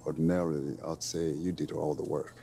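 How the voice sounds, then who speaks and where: Proud older coach in a game, deep slow voice, wry, bit of noise behind. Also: male voice, level tone, creaky, dark tone. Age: middle-aged. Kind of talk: naturalistic video game cutscene dialogue.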